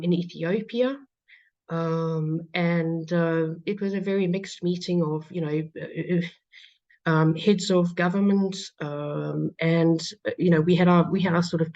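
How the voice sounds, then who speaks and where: A woman with a South African accent giving a conference talk as a patient advocate, pausing often with fillers and restarts. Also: lowish voice, darkish tone. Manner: hesitant, many fillers and restarts. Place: lecture hall. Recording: dull lo-fi sound.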